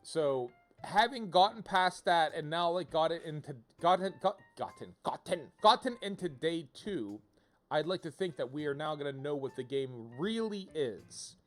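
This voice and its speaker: Rambling man streaming, slightly high-pitched voice. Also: expressive, normal speed. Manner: rambling, self-correcting, thinks out loud. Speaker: video game livestreamer, host. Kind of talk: casual livestream commentary to viewers. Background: music.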